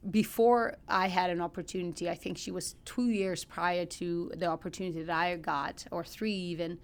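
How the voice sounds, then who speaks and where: A woman's voice: lowish voice, fairly even intonation, moderate pace. A middle-aged woman from Ukraine with a Ukrainian accent, telling personal stories conversationally as a podcast guest, earnest and emphatic.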